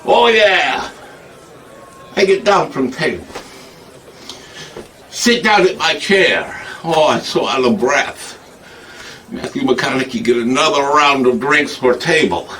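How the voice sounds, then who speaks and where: Boastful broken-English Igor, a man doing the voice, slow and bright, slightly distant. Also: fairly high pitch, slight background noise. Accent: put-on broken English. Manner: broken grammar, frequent laughing. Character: foreign male hunchback-type comic Igor, boastful. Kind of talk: comic monologue.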